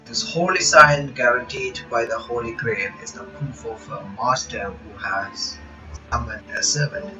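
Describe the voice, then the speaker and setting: Man reading a game aloud over music. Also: medium pitch. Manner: reads text aloud haltingly, breaking off for casual asides. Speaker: reaction channel host. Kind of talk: reading a visual novel aloud with commentary. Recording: dull lo-fi audio with music behind.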